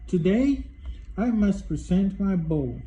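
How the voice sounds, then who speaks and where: Man reading as a mellow, worried old grandfather. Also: high-pitched, lively intonation, takes it slowly, a little hiss or hum. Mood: discouraged. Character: kindly, worried old grandfather bowl carver.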